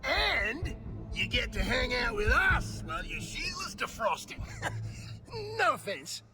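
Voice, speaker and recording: A very high, strained male shout over music. a cocky video game rogue who teases and mocks in unhurried jabs. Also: very animated, exaggerated voice. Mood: cocky, jeering. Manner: shouty, teasing. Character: brash, mocking male rogue squad member. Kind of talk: game cutscene character acting.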